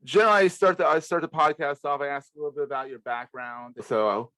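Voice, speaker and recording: A man's voice: fairly high pitch, fast talker, bright, forceful tone, no echo. A man hosting a podcast, interviewing in a casual, conversational way. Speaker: podcast host.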